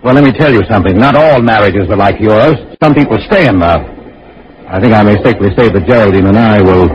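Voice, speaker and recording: Actor as a low-voiced, hesitant, secretive clerk, muffled old radio. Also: male voice, quick pace, a little hiss or hum, natural voice. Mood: indignant. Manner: hesitant, stammering, polite. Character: timid, secretive bachelor office clerk who invents a wife. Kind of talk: old-time radio drama acting with confessional narration. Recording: muffled old radio recording with music behind.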